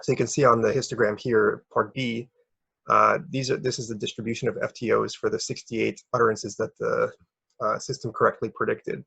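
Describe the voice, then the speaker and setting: A man presenting academic research as a researcher, explaining step by step in a hesitant, halting way with a gravelly, husky voice. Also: on the low side, level tone, a bit bright, no room sound.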